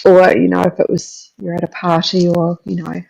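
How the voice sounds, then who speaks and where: A woman's voice: fairly low pitch, little pitch movement, moderate pace, creaky, noisy background. A woman co-hosting a casual livestream chat who mostly gives short, agreeable replies. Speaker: livestream co-host.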